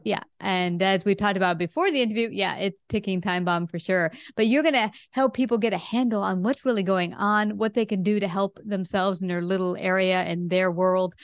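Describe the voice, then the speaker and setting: A woman's voice: medium pitch, fairly even intonation, brisk, hardly any pauses, smooth. A woman hosting an interview podcast in a conversational style. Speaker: podcast host.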